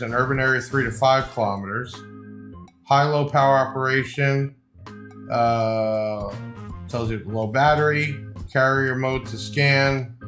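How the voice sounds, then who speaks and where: A man's voice: mid-range voice, a bit bright. A man giving a casual, off-the-cuff product review, explaining things informally like a salesman. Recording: echoey room, faint music behind.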